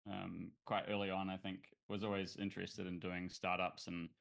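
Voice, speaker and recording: A man with a New Zealand accent, a startup founder talking casually in an interview, relaxed and thoughtful with many fillers and restarts. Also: low voice, moderate pace, gravelly.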